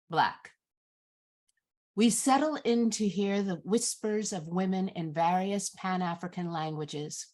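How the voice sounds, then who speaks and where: Woman narrating a script, takes it slow, measured, mid pitched. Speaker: narrator reading stage directions.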